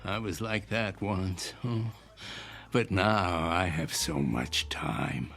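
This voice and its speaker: A man playing a genial, wistful old gentleman in a radio drama, chatty and old-fashioned in his courtly manner. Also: low voice, takes it slowly, creaky, full character voice. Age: older. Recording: music behind the voice.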